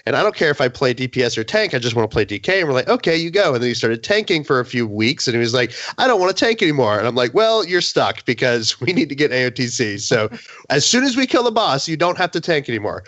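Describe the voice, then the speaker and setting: A man's voice: medium pitch, very animated, quick pace, fairly bright tone, dry recording, highs cut off. A man chatting casually as a gaming podcast panelist, with a playful, storytelling manner.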